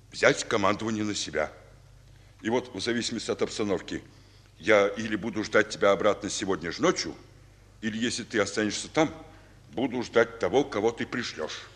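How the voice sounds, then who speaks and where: An actor playing a weary, fatherly Soviet army general in a radio drama, speaking in a measured, confiding, weighty way. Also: fairly low pitch, a bit bright, breathy edge. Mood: measured, commanding. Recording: old radio recording, long reverb.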